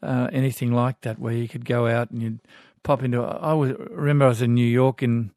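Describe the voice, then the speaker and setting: A man's voice: mid-range voice, little pitch movement, brisk, a little mellow, no room sound, studio quality. An older Australian man hosting a talkback radio show in a chatty, folksy way, reading out listener emails. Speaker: radio host. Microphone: studio microphone.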